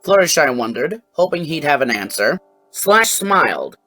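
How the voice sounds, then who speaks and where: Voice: mid-range voice, very animated, moderate pace, sharp tone, soft background music. A narrator reading a scripted fan fiction story.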